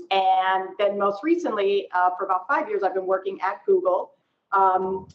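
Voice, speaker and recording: A woman in her forties gives a conversational professional explanation as a panelist. Her pitch is average, and the video-call sound from her home room is slightly dull. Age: 40s. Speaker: panelist, research executive.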